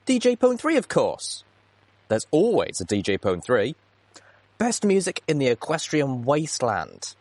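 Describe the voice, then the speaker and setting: A squabbling, gossipy tavern pony acted by a male reader in a storybook. The voice is opinionated, raised in pitch and swooping. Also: huge pitch swings, a little slow, darkish tone, no echo, heavily acted. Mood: eager bickering.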